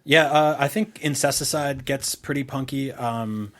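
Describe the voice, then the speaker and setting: A man's voice: mid-range voice, measured pace, fairly bright tone. A middle-aged man co-hosting a podcast in casual, rambling conversation, informal and freely profane. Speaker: podcast co-host.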